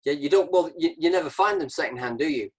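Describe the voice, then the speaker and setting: A man's voice: on the high side, lively intonation, fast, darkish tone, breathy edge. A man hosting an informal discussion on a video call, explaining his reasoning step by step. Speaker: host, badminton coach.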